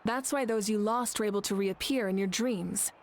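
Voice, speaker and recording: Woman as a composed leader, mid-pitched. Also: normal speed, darkish tone, slight background noise, lightly acted. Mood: measured, serious. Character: composed, dignified female leader, calm and authoritative. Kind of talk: anime game voice acting. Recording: faint background ambience.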